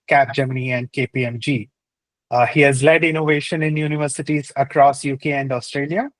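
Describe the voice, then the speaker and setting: A man hosts an interview series, his voice pitched a little high. He reads an introduction and poses questions in an upbeat, lightly scripted way, sprinkling in fillers and tag questions. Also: rough recording. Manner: upbeat, uses fillers like "uh" and "right?". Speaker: podcast host.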